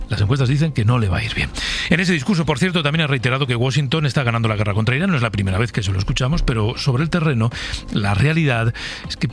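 A man with an accent from Spain hosting a radio news programme, moving between presenting and casual banter in a studio. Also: medium pitch.

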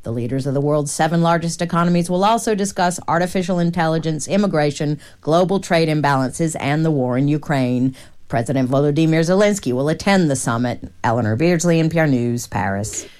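A woman filing a calm, even radio news report as a foreign correspondent. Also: low-pitched, fairly quick.

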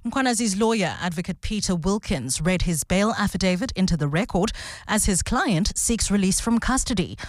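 A woman's voice: mid-range voice, animated, dry recording, studio quality. Woman reading radio news. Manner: crisp, measured news delivery. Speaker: newsreader.

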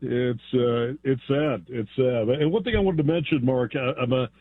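A man from the United States calling into a radio show, earnest and halting, repeating words as he speaks. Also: medium pitch. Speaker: caller. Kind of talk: phone-in call. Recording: phone line.

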